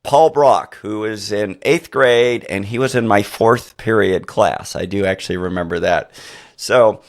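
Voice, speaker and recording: A middle-aged man hosting a podcast interview, a former teacher who tells rambling, animated stories full of restarts. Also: on the low side, unhurried, faint music behind. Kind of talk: conversational interview.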